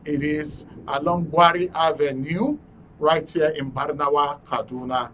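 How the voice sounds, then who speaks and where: A man's voice: a little high, fairly even intonation, measured pace, fairly bright tone, distant mic, a little hiss or hum. A man with a Nigerian accent hosting a live call-in talk show on radio and TV from a studio. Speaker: radio and TV host. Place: broadcast studio.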